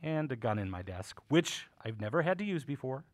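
An actor playing a courtly, whimsical old uncle who runs a curiosity shop in an audio drama, genial and theatrical, with old-fashioned manners. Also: male voice, medium pitch, big pitch swings, a little fast, dry recording, natural voice. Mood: fond, confiding.

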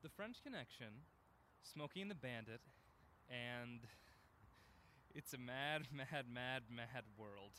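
A man playing an excitable, playful film fanatic in an audio drama, eager and urgent in his delivery. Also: mid-range voice, slow, slight background noise. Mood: giddy. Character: Grant, excitable, playful thrill-seeking film fanatic friend.

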